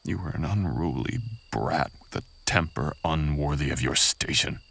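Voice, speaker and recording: A man playing a menacing, bitter, seductive samurai in an intimate ASMR roleplay, husky and breathy, often dropping into a whisper, with a taunting manner. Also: rumbling low, moderate pace, a bit bright, full character voice.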